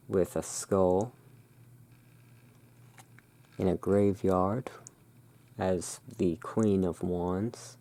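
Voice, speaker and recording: A person narrating in a soft, hushed, deliberate ASMR style while presenting cards as a host. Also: low voice, creaky.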